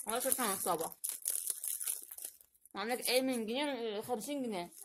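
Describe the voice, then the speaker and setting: A woman with an Egyptian Arabic accent chatting casually as host of an unboxing vlog, repeating phrases for emphasis in an animated way. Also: on the high side, fast talker. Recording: noisy home recording, crinkling packets.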